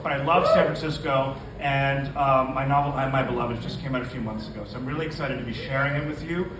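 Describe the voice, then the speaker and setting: A man's voice: mid-range voice, fairly even intonation, fast talker. A man, a novelist, speaking to introduce and read his fiction at a live indoor literary event. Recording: echoey room from a distance, noisy.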